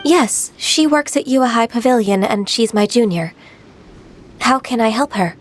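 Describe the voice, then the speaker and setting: A woman voicing a gentle, polite young secretary in a video game, soft-spoken and formal. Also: a little high, measured pace.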